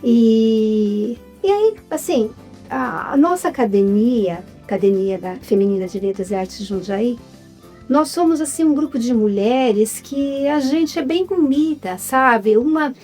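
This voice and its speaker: A woman's voice: on the high side, unhurried, dark tone, soft background music. A Brazilian woman with a Brazilian Portuguese accent, a writer and filmmaker, talking earnestly as a guest in a casual interview.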